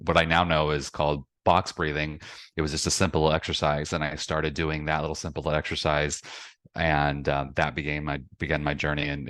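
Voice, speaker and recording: A man's voice: rumbling low, fairly bright tone, dry recording, clean studio sound. A man in his forties, a coach, introducing himself steadily with a few stumbles. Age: 40s.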